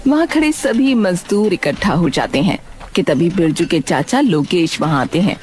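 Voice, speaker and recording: Animated woman narrating a storybook. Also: lowish voice, moderate pace, slight background noise.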